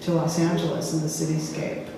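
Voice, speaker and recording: A woman filmmaker speaking casually in a public discussion, halting and searching for words with frequent restarts. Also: deep voice, monotone, warm tone. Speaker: filmmaker in a post-screening discussion. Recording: echoey hall from far off, faint hiss.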